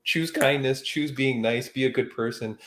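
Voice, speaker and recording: A man from Canada hosting a podcast, talking in a relaxed, chatty way. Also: mid-range voice, normal speed. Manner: relaxed, chatty, frequent "like" and "I mean". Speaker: podcast host. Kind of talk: casual podcast chat.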